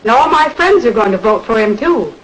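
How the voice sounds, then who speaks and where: An actress playing a folksy, chatty small-town housewife giving a homespun testimonial in a 1940s campaign film. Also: female voice, fairly high pitch, big pitch swings, brisk. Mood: folksy, earnest. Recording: noisy old 1940s film soundtrack.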